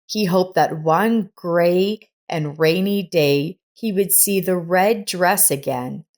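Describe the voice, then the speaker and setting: A woman reading a scripted story in a measured storytelling manner. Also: on the low side, darkish tone. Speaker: story narrator. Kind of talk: scripted audiobook-style narration.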